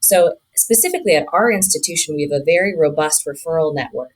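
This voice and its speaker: A woman's voice: deep voice, animated, fast talker. A woman, a physician guest, explaining in an interview.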